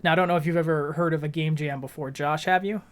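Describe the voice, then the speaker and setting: A man co-hosting a podcast, explaining things from his notes in an articulate, measured, conversational way. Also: fairly high pitch, steady tone. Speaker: podcast co-host.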